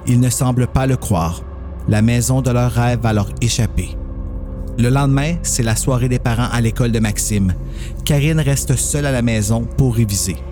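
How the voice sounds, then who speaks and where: A man's voice: medium pitch, normal speed, over music. A man narrating a scripted horror story as a podcast host.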